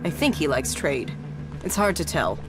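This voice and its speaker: Sardonic streetwise arms dealer. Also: female voice, sits low, expressive, normal speed. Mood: dry, businesslike. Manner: sardonic, bargaining. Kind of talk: video game dialogue acting. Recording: music under the voice.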